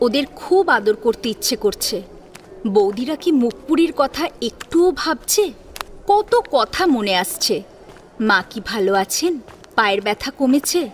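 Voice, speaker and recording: A woman's voice: high-pitched, a little hiss or hum. A woman reading a dramatic audio story as its narrator. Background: background music.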